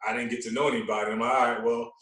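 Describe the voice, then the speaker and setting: Man, casual podcast storyteller, animated, repetitive phrasing, mid-pitched voice, echoey room. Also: racing, far from the mic. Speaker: podcast guest, radio personality.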